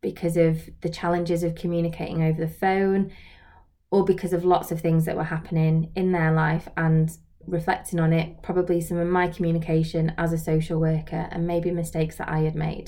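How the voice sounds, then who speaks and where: A woman with a soft-edged, mellow voice that sits a little low. She talks as a trainer giving an informal lesson, explaining things reflectively and often posing questions directly to the listener. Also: little pitch movement, a little fast. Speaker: social work trainer and video host. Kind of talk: informal instructional talk to camera.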